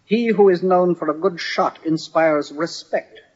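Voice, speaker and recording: A man's voice: on the high side, measured pace, husky. A man acting a proud, hot-tempered army captain in an old-time radio drama, with formal, dignified, theatrical diction. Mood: firm, challenging. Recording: dull, band-limited old radio recording.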